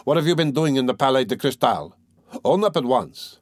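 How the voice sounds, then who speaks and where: A man reading an audiobook as a hot-tempered, bossy young man who scolds loudly and fires off demands. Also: mid-range voice, expressive, no echo, clean studio sound, lightly acted. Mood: indignant, threatening. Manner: loud scolding, rapid-fire demands. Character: hot-tempered, blustering young male friend, bossy and loud. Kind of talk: dramatised audiobook dialogue.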